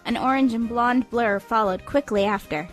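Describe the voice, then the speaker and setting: A woman's voice: fairly high pitch, normal speed, faint music behind. A woman reading scripted story narration as the narrator of an audio drama.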